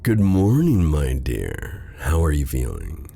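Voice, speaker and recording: Casting: male actor as suave evil mage for fantasy roleplay audio, extremely low gravelly voice, silky and menacing. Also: huge pitch swings, slow pace, warm tone, full character voice. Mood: smug, soothing. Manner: theatrical, silky, taunting endearments. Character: suave, menacing dark male sorcerer, tender captor. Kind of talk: ASMR fantasy roleplay acting.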